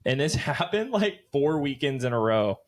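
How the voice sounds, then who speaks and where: A man's voice: medium pitch, big pitch swings, normal speed. A man in his late twenties, co-hosting a podcast, telling rambling stories in loose, profane casual chat. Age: late 20s. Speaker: podcast co-host.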